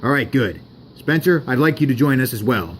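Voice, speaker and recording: A man's voice: mid-range voice, animated, normal speed, slight background noise, lightly acted. A man voicing a bossy, protective steam engine leader who is blunt and firm, in a homemade story.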